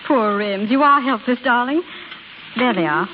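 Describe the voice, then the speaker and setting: An actress playing an earnest, anxious young wife who is easily hurt, in 1930s radio drama style on an old hissy recording. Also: female voice, a little high, animated, normal speed, narrow, tinny audio, lightly acted. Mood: fond, worried.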